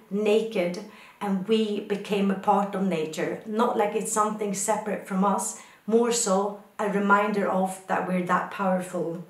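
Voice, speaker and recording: A woman's voice: medium pitch, roomy sound. A woman from Sweden, a photographer, answering questions in a reflective personal talk with thoughtful, poetic phrasing.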